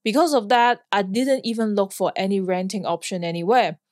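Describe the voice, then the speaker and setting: A woman's voice: mid-range voice, fairly quick, a little mellow, no echo, clean studio sound. Hong Kong-born woman telling her story. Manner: earnest, plainly worded, occasional light laugh. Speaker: podcast host, flying instructor. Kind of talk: personal storytelling monologue.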